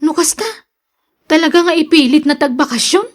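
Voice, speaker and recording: A woman's voice: high voice, animated, normal speed. A woman playing a nosy, suspicious and complaining younger wife in an Ilocano radio drama. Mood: jealous, sulky.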